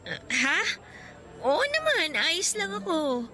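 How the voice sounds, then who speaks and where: A woman's voice: very high-pitched, sing-song, slow, sharp tone, faint music behind, exaggerated voice. A woman playing a shy, insecure young co-worker, hesitant and lightly teasing, in radio drama dialogue. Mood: amused, shy. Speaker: drama voice actor.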